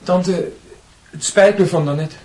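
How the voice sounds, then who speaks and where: An actor playing a defensive, hot-tempered young student in a radio drama, with a Netherlands Dutch accent and a husky voice. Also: male voice, sits high, measured pace, a little mellow. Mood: contrite. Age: young adult.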